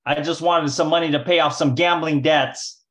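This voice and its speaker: An amateur actor playing a sullen young hoodlum nephew in an old-time radio drama. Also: male voice, on the high side, fairly even intonation, moderate pace, a bit bright, close to an everyday voice. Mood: pleading.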